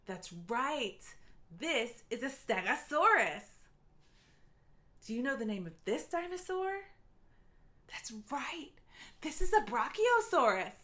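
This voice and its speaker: A woman's voice: high voice, a bit bright. A woman reading aloud for young children in a playful, encouraging way, with short simple phrases and quiz-like prompts. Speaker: children's read-aloud narrator.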